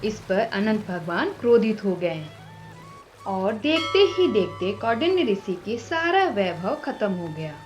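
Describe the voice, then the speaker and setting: Slow, expressive woman storyteller. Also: medium pitch, faint music behind. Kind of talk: scripted devotional story narration.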